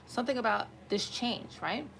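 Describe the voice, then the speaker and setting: A woman with a slightly raspy voice giving an improvised tarot reading to viewers, conversational and often checking in with listeners. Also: on the high side, lively intonation, moderate pace, a bit bright, a little hiss or hum, rough recording. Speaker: tarot reader hosting an online collective reading.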